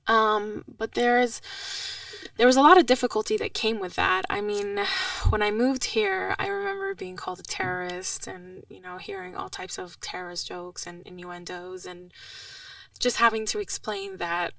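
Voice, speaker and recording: Lively, gravelly young woman, native Arabic speaker. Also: on the high side, moderate pace, dry recording, distant mic. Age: 20s. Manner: expressive storytelling with frequent fillers. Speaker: podcast guest. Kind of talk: casual interview chat.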